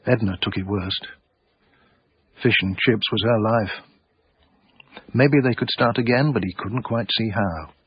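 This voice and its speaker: An actor playing a wry, literary-minded man narrating his story in a radio drama, deliberate and knowing. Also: lowish voice, husky, no room sound. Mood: wry, storytelling. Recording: slightly dull, very dry radio recording.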